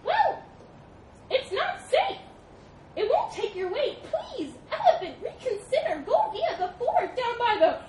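A woman voicing a small, timid male mouse in a storybook, squeaky and shrill, pleading anxiously. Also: sing-song, unhurried, heavily acted. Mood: worried. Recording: roomy, distant mic, noisy rumbling background.

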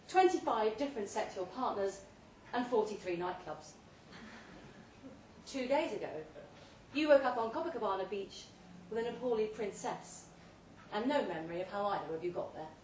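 A woman's voice: a little high, a little mellow. Distant, echoey woman reading a story aloud. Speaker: reader of a short story at a live event. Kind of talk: dramatic literary reading, scripted second-person narration. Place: indoor pub event, before an audience. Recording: roomy live pub recording, distant mic, faint noise.

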